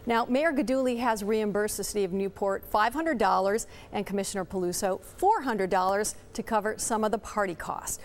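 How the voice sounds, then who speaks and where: A woman's voice: mid-range voice, normal speed, hardly any pauses. A woman with an American accent delivering a scripted TV news report as an investigative reporter, with pointed questions.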